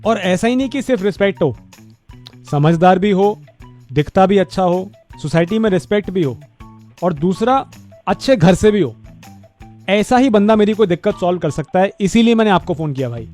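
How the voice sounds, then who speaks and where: Man playing a high-voiced wheedling neighbour. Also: animated, normal speed, darkish tone, soft background music. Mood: wheedling, flattering. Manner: wheedling, repetitive. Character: pleading flattering neighbour in trouble. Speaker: radio prank caller. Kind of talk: casual phone prank.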